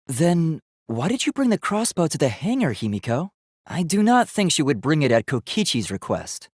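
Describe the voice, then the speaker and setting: K1-B0, an earnest, lively-pitched young robot. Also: male voice, moderate pace, husky, no echo, studio quality. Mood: insistent, logical. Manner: formal, precise, articulate, earnest. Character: polite, earnest, logical young male robot. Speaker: video game character. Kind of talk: English video game voice acting.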